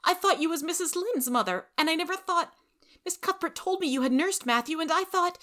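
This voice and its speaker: A woman's voice: very high voice, lively intonation, brisk, fairly bright tone, pitched above the performer's own voice. A woman voicing a feisty, emotional young orphan girl in a storybook, impulsive and easily flustered. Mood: trembling, apologetic. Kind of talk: storybook character reading.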